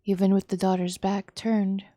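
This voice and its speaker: A woman's voice: medium pitch, flat delivery, normal speed, dark tone, no echo. Woman narrating a visual novel, dry sound. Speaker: streamer. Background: music.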